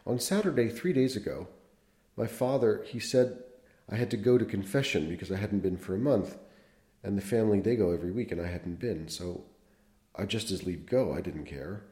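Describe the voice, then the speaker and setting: A man reading the lines of a frightened eleven-year-old boy, despairing and rushed. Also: lowish voice, lively intonation, mellow, voice pushed up, close to an everyday voice. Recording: roomy echo.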